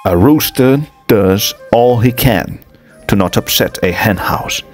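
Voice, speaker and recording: A man's voice: on the low side, slow pace. A man playing an older, loyal knight and advisor in a game fan dub, speaking in a courtly way. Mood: cautioning. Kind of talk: fan dub voice acting of a fantasy story game. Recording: music behind the voice.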